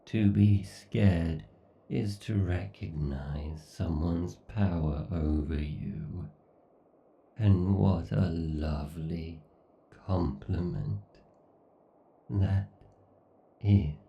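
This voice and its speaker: A man voicing an arrogant, obsessed, sadistic AI god in a horror roleplay, pompous and menacing, with theatrical pauses. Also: deep voice, vocal fry, warm tone, roomy sound, recorded from a distance, lo-fi sound, full character voice. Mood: smug, possessive. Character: obsessed, sadistic, arrogant godlike male AI villain. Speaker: voice actor. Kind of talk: horror ASMR roleplay monologue.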